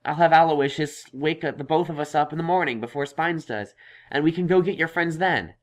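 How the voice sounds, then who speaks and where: A man playing a kindly young male pony scholar in an audio drama, reassuring but self-conscious and halting. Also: on the high side, natural voice. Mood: friendly, insistent. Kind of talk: audio drama fan fiction reading.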